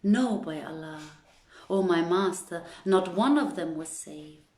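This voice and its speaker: Storybook manservant, read by a woman: theatrical, emphatic lament, very slow, roomy echo. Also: fairly low pitch, animated, mellow. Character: male servant, theatrically wailing, reporting disaster.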